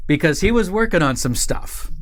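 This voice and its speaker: Higher-pitched man hosting a casual live stream. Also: lively intonation, moderate pace. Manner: informal, rambling, playful. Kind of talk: casual chat announcements to stream audience.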